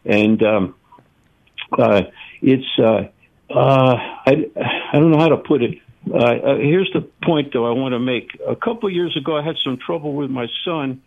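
A man of eighty calling in to a radio show, speaking in a halting, reflective way with many fillers. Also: medium pitch, gravelly. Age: 80s. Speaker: caller. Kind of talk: call-in radio chat. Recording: phone line.

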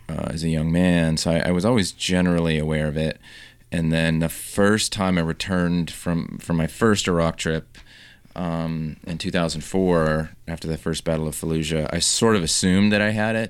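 A man in his thirties from Southern California, a guest author speaking haltingly in a radio studio interview, with many restarts and fillers. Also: low voice, clear, resonant tone, no room sound.

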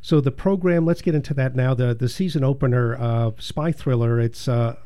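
A man's voice: mid-range voice, normal speed, warm tone, smooth. A man hosting an interview, asking friendly questions with small stumbles and restarts. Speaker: interview host. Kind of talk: casual interview.

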